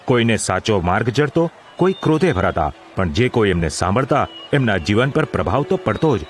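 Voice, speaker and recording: A man's voice: fairly low pitch, sing-song, moderate pace. A man narrating a scripted audio drama in a formal, literary storytelling manner. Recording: music under the voice.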